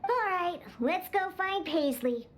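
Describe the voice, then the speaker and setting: A woman's voice: very high voice, animated, slow, a little hiss or hum, exaggerated voice. An actress playing a feisty young cat-girl bartender in a video game, with a cutesy, bossy, indignant manner. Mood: eager, bossy. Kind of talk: video game character acting.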